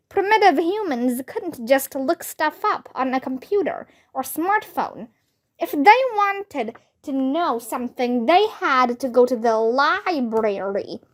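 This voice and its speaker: A woman reading a children's book aloud in an animated, expressive way. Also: high-pitched. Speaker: storybook reader.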